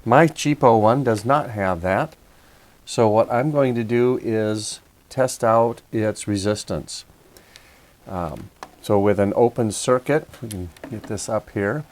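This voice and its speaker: Male DIY host, lower-pitched voice, methodical and casual, talking viewers through a hands-on fix. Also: takes it slowly, a little mellow. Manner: methodical, step-by-step explaining. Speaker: repair video host. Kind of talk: casual hands-on repair walkthrough.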